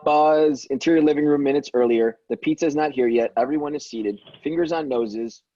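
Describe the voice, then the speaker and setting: A man's voice: a little high, moderate pace, warm tone. A man reading stage directions as the narrator of a scripted table read. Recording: dull, slightly lo-fi sound.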